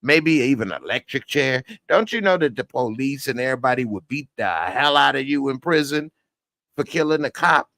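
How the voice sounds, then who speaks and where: A man's voice: mid-range voice, expressive, normal speed, bright, forceful tone, no room sound. Blunt, slangy male TV reviewer. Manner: blunt, slangy, rants with heated outbursts. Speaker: TV series reviewer. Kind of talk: casual spoken episode review.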